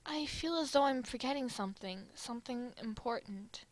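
Woman playing a gentle, high-voiced anime boy. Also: moderate pace, no echo, natural voice, low-quality recording. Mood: hesitant. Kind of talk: amateur fan dub roleplay.

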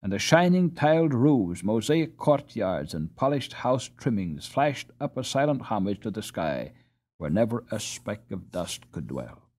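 A man narrating a storybook as an audiobook reader, in an expressive scripted style. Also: lowish voice, unhurried, husky.

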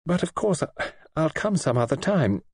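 A man reading an audiobook, voicing a gentle, reserved young woman who speaks softly and calmly. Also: a little high, moderate pace, husky, voice pushed up, heavily acted. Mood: quiet, calm. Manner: soft-spoken and calm. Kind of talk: audiobook character voice.

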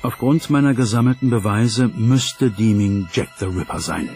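A man's voice: fairly low pitch, normal speed, music in the background, lightly acted. A man doing a scripted documentary voice-over as an investigator. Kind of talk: scripted German documentary dubbing.

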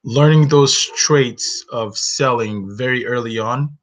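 A man's voice: mid-range voice, slow. A man in his thirties, raised in Northern Nigeria, hosting a webinar from a small bedroom on his webcam, talking earnestly about his own story with many "you know"s. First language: Hausa. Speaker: webinar host. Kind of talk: reflective solo webinar talk. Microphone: webcam, close framing. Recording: dull lo-fi webcam sound.

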